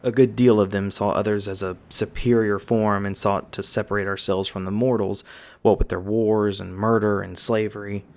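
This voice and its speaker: Easygoing magi guide, played by a man, gravelly, patient fantasy storyteller for ASMR roleplay. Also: fairly low pitch, level tone, normal speed, lightly acted. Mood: storytelling, thoughtful. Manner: folksy, patient explaining.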